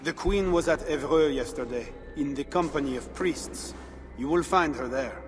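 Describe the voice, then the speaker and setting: Brief: actor as a teasing, worldly informant, strong music score behind. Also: male voice, on the high side, normal speed, lightly acted. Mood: wry. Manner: wry, knowing, teasing. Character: worldly, sardonic Frankish spymaster. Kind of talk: video game cutscene. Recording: game audio with reverb and music.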